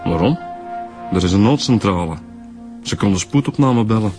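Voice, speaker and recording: Man playing a blunt detective, Flemish accent, lowish voice, probing, radio drama, faint music. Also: animated, normal speed, lightly acted. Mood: insistent. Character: blunt, insinuating male police detective.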